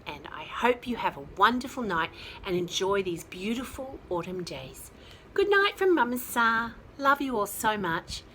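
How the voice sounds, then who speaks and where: A woman's voice: mid-range voice, big pitch swings, normal speed, pushed and bright, a little hiss or hum, low-quality recording. A woman reading a picture book expressively to young children and explaining things simply. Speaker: children's storyteller.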